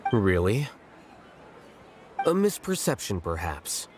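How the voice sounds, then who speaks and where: An actor playing an aloof, blunt young scholar-official in a video game, speaking in a dry, detached, formal way. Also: male voice, lowish voice, sing-song, slow, slight background noise. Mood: cool, matter-of-fact. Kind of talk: video game character dialogue.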